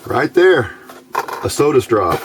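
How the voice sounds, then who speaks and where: A man giving a casual hands-on electronics tutorial, halting and thinking aloud as he goes. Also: mid-range voice, sing-song, noisy background. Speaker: electronics hobbyist video host.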